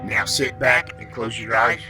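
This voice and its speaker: A man's voice: mid-range voice, moderate pace. A man reading a scripted, dramatic introduction as announcer for an adventure serial. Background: loud music.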